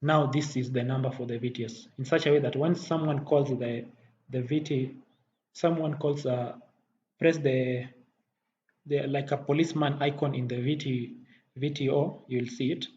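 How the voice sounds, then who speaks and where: A man giving a software tutorial as a technical instructor, halting and often rephrasing as he explains. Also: mid-range voice, fairly even intonation. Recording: dull muffled audio, faint background noise.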